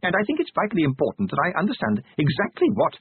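A man voicing a fussy little government clerk in an audiobook, husky, hesitant, polite and pedantic. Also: sits high, wildly swooping pitch, fast, dry recording, voice pushed up, exaggerated voice. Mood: fussy insistence. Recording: tinny, narrow-band radio-like audio.

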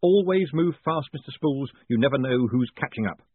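A man voicing a brisk, cocky young conman turned postmaster who speaks firmly and breezily. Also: mid-range voice, expressive, breathy edge, no echo, pitched above the performer's own voice. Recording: tinny phone-like sound, dry.

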